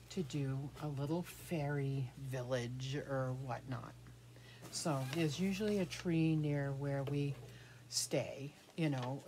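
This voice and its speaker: A middle-aged American woman with a low-pitched voice talks slowly and informally as she shows craft supplies. She thinks out loud and lets sentences trail off. The recording is made in a small room with clear background noise and clicks. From United States. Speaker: craft vlogger. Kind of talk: casual rambling craft show-and-tell.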